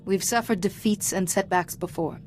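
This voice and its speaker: A woman playing a composed, diplomatic Jedi Master in a video game, speaking in a measured, formal, reassuring way. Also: mid-range voice, faint music behind, natural voice. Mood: calm, composed. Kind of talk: cinematic video game voice acting.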